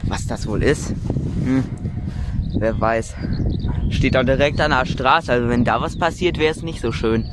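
A man's voice: mid-range voice, a little slow, long pauses. A man narrating casually from behind his phone camera as he walks an open hilltop wind farm, musing aloud with frequent fillers. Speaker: wind turbine vlogger. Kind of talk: casual spoken commentary while filming. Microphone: phone camera mic, speaker behind the camera. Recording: outdoor wind and ambient noise.

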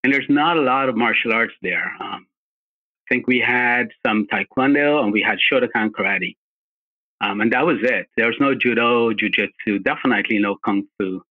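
A man from Guyana, a martial arts instructor being interviewed, who answers politely and graciously with some pauses. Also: mid-range voice, phone-quality sound.

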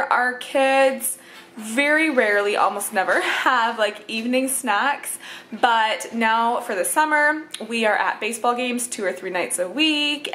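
A woman's voice: fairly high pitch, a bit bright, a little hiss or hum. A woman in her thirties chatting casually through a grocery haul at home, laughing often and filling with um and like. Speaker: vlogger, mother of five. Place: home kitchen and living room. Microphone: handheld camera at arm's length.

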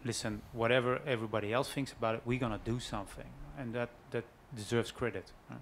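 A male panelist explains his views at a steady pace, pausing on fillers. A faint hum sits behind his voice. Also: medium pitch. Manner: thoughtful, explanatory, frequent fillers. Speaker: conference panelist. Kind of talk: panel discussion.

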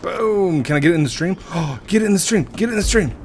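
A man's voice: sits high, animated, fast talker, faint music behind. Chatty man in his thirties, headset. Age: 30s. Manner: rambling, self-mocking, chatty. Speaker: gaming YouTuber. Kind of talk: casual gameplay commentary. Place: home room at a desk.

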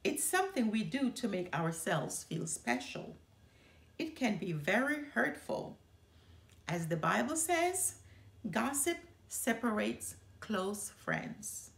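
A woman voicing a gently firm, moralizing mother bear in a measured storybook reading. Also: medium pitch, big pitch swings, gravelly, exaggerated voice. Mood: teaching. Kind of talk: children's storybook read-aloud. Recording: roomy indoor echo.

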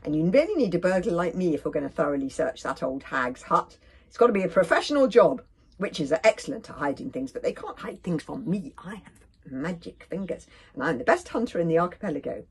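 Woman as a boastful, husky young girl burglar. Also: fairly low pitch, very animated, a little fast, full character voice. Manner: bragging, emphatic declarations.

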